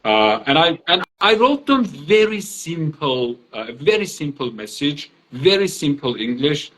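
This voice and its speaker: A man whose first language is Azeri, explaining his teaching ideas as an interview guest in a reflective way with many pauses. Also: fairly high pitch, sing-song, a bit bright. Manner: reflective, explanatory, frequent hesitations. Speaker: guest, academic trainer. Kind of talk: online interview conversation. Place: Turkey.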